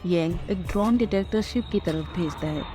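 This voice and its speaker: A woman's voice: lowish voice, moderate pace. A woman reading a dramatized scripted story as its narrator. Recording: music clearly behind the voice.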